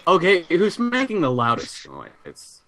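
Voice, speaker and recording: A man's voice: high voice, wildly swooping pitch, unhurried, fairly bright tone, no echo. A man chatting casually as a player in a tabletop role-playing game, explaining what his character does. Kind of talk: casual gaming chat.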